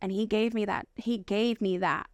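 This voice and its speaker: A woman's voice: medium pitch, fairly even intonation, moderate pace, dry recording, studio quality. An American woman talking casually and earnestly about personal experience as an interview guest, repeating phrases for emphasis. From United States. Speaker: podcast guest.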